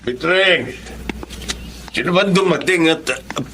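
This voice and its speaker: A Filipino actor playing a gentle, consoling older uncle in a radio drama. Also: male voice, a little high, slow pace, a little hiss or hum, exaggerated voice.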